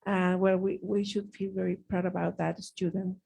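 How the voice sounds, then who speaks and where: Husky, creaky-voiced middle-aged woman. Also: lowish voice, level tone, moderate pace, mellow. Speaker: teacher, seminar participant. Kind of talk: conversational seminar comment.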